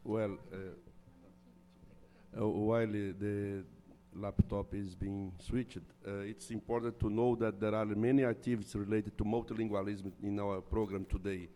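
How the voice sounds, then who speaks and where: A man's voice: lowish voice, little pitch movement, normal speed, warm tone, slight background noise. A man making program announcements at a conference, hesitant with fillers. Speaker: conference organizer.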